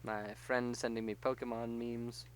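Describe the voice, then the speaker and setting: Man, middle-aged, mid-pitched, casual gaming banter, self-deprecating, anecdotal. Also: level tone, measured pace, a little hiss or hum. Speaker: gaming show host. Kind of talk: casual chat while playing a video game.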